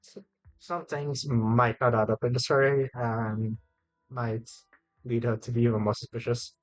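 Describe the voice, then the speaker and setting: A man's voice: mid-range voice, level tone, measured pace, rough recording. A man giving casual live gameplay commentary, thinking aloud in a musing, hesitant way. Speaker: game streamer. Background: faint game music.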